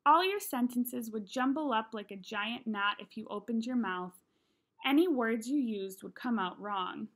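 A woman's voice: on the high side, unhurried, a bit bright. A young woman reading a children's novel aloud as narrator, recorded in a small room at home. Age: young adult.

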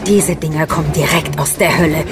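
A woman's voice: sits low, steady tone, brisk, over loud music, natural voice. An actress in an audio drama playing a traumatised but fierce space survivor and mother. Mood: grimly resolute.

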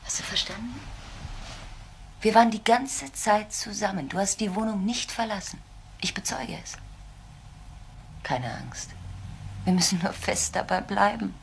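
An actress playing a determined, protective social worker in a radio crime drama, speaking urgently and insistently. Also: female voice, medium pitch, expressive, a little slow, vocal fry, long pauses. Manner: urgent, insistent, repeating key phrases. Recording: noisy radio drama recording with ambience.

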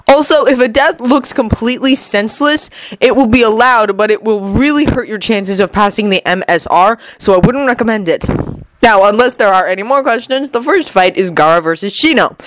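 Woman as a brisk, flippant male referee, pitched up. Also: animated, a little hiss or hum, natural voice, rough recording. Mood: brisk, official. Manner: matter-of-fact, announcing.

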